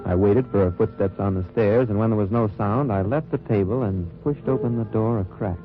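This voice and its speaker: Undercover agent, wartime radio drama male actor: low, measured, wry narration, muffled vintage sound. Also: natural voice. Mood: suspenseful, watchful. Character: cool, watchful undercover agent narrating his wartime mission. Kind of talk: 1940s-50s radio drama acting, first-person narration. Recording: muffled old-time radio recording with music.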